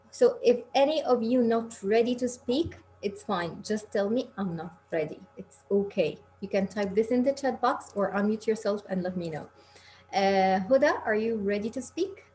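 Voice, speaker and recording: A woman's voice: medium pitch, unhurried, a little mellow, faint music behind. A woman from Egypt teaching an English class online, talking in an encouraging, reassuring, informal conversational way. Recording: noisy online call with background hum.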